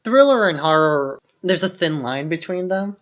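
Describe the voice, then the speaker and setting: A man's voice: on the high side, expressive, moderate pace, fairly bright tone. A man co-hosting a podcast, chatting casually about films in a loose conversational way peppered with "like". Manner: conversational, heavy use of "like". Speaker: podcast co-host. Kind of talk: casual film discussion. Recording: dull lo-fi microphone sound.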